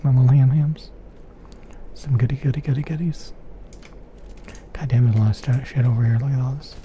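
A man giving soft-spoken ASMR gameplay commentary in a murmur, repeating pet phrases, close to the microphone. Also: medium pitch, steady tone, a little fast. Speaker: video game streamer. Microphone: close stereo microphone. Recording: faint keyboard typing noise.

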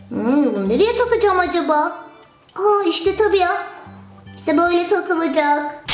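Very high-pitched, playful woman with roomy echo. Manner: exclaiming, sing-song. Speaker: children's toy show presenter. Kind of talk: playful acted toy play for children.

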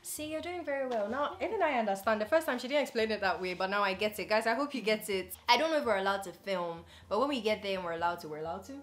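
A woman's voice: medium pitch, fast talker, few pauses. Playful young woman vlogging. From Ghana. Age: 20s. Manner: chatty, playful, addresses viewers directly. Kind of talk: casual vlog chat to camera. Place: bedroom, indoors.

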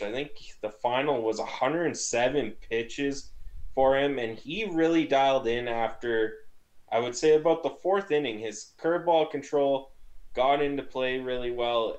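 A young man on a gaming headset talking through a baseball game recap from a small room at home, in quick, lively conversational analysis. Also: mid-range voice, low-quality recording. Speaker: baseball podcast co-host. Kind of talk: casual post-game recap.

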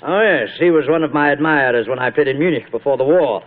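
An actor playing a genial, flowery old British stage actor in a 1940s radio drama, affable and polite, with a British accent. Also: male voice, mid-range voice, quick pace, natural voice. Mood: ingratiating. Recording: muffled, narrow-band old radio recording.

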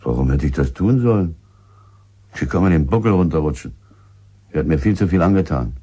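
A bitter village husband in a radio drama, with a very low, husky voice. He talks coarsely and guardedly, halting over his answers like someone under suspicion. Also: expressive, mellow, heavily acted. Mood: bitter, dismissive. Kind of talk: German radio drama acting.

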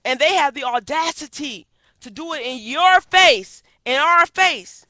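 A high-pitched, sharply bright woman delivers indignant, emphatic commentary, hammering her points with rhetorical questions. She is middle-aged and speaks into a headset mic in a small wood-paneled room. The sound is dry and close. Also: expressive, unhurried, no room sound. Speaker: activist vlogger. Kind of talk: impassioned webcam commentary. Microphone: headset on webcam.

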